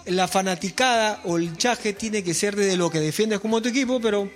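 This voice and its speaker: A man's voice: high-pitched, a little fast, faint music behind. A musician in his forties, a guest chatting earnestly in an interview, often repeating words. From Peru. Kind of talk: casual interview chat.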